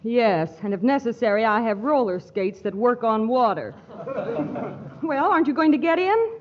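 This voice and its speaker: Teasing skeptical date played by a woman, high voice, old broadcast. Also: expressive, moderate pace, lightly acted. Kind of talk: 1940s radio comedy acting. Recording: dull old radio broadcast, faint hiss.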